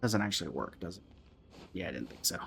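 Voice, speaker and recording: A man's voice: low-pitched, sing-song, quick pace, gravelly, fairly bright tone, a little hiss or hum. A young man streaming a game reaction, making wry, teasing asides to his chat. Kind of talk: casual reaction commentary, reading dialogue aloud.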